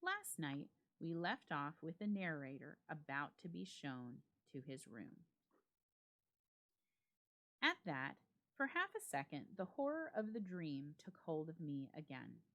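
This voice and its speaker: A woman narrates a classic ghost story in a somewhat low voice with wide, lively swings of pitch. Her delivery is theatrical and suspenseful, leaning deliberately on key words. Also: lo-fi sound. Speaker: library staff storyteller.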